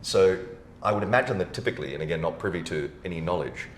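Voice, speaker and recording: A man's voice: low-pitched, fast. A man hosting a casual podcast interview in an informal, matey and opinionated way. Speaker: podcast host. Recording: echoey room with faint noise.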